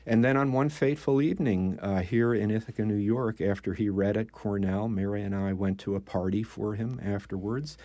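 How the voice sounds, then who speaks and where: An older man, a poet, talking in a measured, thoughtful way as an interview guest, pausing often with "uh". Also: fairly low pitch, steady tone, mellow, dry recording. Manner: thoughtful, measured, with frequent "uh" pauses. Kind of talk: conversational interview.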